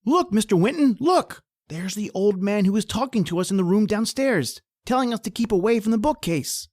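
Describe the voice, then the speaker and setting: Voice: mid-range voice, animated, moderate pace, darkish tone, no echo, natural voice. An actor playing John, a nervous, deferential male companion, anxious and complaining, in the style of old-time radio horror. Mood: fearful.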